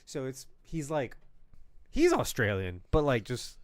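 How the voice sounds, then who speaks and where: A man's voice: mid-range voice, wildly swooping pitch, unhurried, clear, resonant tone, no echo. Podcast co-host, young American guy from Florida, chatty and rambling, dry close sound. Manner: rambling, laughs often, many fillers and restarts. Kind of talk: casual live podcast chat.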